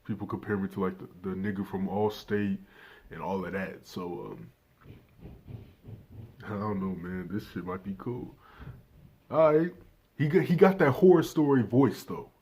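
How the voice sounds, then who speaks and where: A man's voice: lowish voice, animated, moderate pace, pauses often, a little mellow, breathy edge. A nineteen-year-old man doing casual reaction commentary, laid-back and swearing freely, talking straight to his viewers without a proper microphone. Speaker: reaction channel host.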